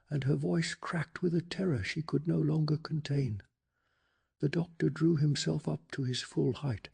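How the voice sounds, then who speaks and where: Soft, husky male ASMR storyteller. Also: a little high. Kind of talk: soft-spoken ASMR bedtime story narration.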